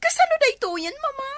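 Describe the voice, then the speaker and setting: A woman's voice: very high-pitched, no echo. A woman playing a lovesick, wounded daughter crying to her mother in a melodramatic radio drama. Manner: tearful, wailing, dramatic.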